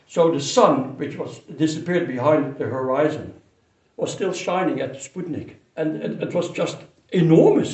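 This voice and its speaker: An older man who grew up in Indonesia, fondly telling a childhood memory in an unhurried way, with pauses and restarts. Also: on the high side, gravelly, darkish tone. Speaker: storyteller. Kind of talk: personal memory told to camera. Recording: roomy echo, mic some distance away.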